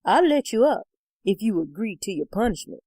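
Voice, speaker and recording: A woman voicing a calm, teasing husband who gives slow, firm commands in a story. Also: mid-range voice, breathy edge, dry recording. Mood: taunting.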